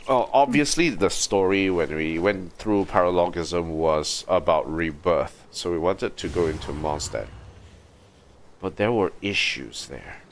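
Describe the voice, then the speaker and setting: A man's voice: sits low, expressive, a little slow, a little hiss or hum. A man livestreaming a game with casual, laid-back, musing commentary.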